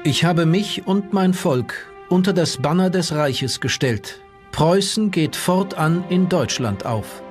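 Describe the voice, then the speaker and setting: Stern, formal period gentleman, solemn documentary quotations, higher-than-average pitch, faint music. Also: animated, slow pace. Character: 19th-century male eyewitnesses and Prussian king, formal, stern. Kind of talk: acted historical quotations in a documentary.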